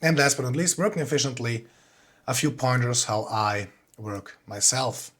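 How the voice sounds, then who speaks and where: A man with a Swiss accent speaks at a middling pitch, presenting informally to an online group. He explains his points in a direct, plain-spoken way, like a working composer sharing practical know-how. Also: moderate pace, a bit bright. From Switzerland. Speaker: composer giving a talk.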